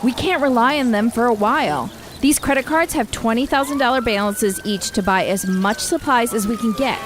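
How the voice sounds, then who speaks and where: A high-voiced woman, played by an actress, leads her neighbors in a radio drama. She is urgent and decisive, with faint ambience behind her. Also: normal speed, noisy background, lightly acted. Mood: commanding. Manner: decisive, giving orders. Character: commanding, urgent female survivalist neighborhood leader.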